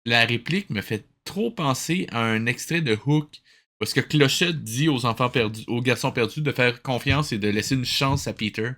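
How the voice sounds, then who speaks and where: A man's voice: mid-range voice, normal speed, fairly bright tone. A man with a Quebec French accent chatting casually and sarcastically as he reacts to a cartoon as host. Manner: teasing, sarcastic. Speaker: host of a watch-along reaction show. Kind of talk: casual chat, live commentary over a cartoon.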